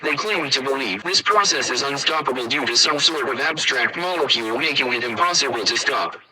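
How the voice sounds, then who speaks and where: A man's voice: mid-range voice, lively intonation, a little fast, far from the mic, crowd noise, lightly acted. A man playing a formal emergency alert announcer, reading a scripted warning. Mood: formal, solemn.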